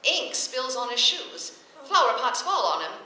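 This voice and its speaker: An actress playing a quick-witted, long-suffering schoolteacher in a vintage radio comedy, delivering dry, sardonic wisecracks with crisp timing. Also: female voice, high-pitched, sing-song, sharp tone, heavily acted. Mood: rueful. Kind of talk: vintage radio comedy acting before a laughing audience. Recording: echoey old radio stage recording, faint noise.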